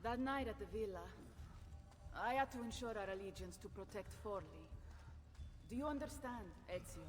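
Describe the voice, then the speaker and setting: A woman's voice: mid-range voice, lively intonation, moderate pace, big-room sound, faint music behind, close to an everyday voice. An actress voicing a fiery, proud Italian noblewoman and warrior in a video game, with an Italian accent. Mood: earnest. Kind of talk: dramatic game voice acting.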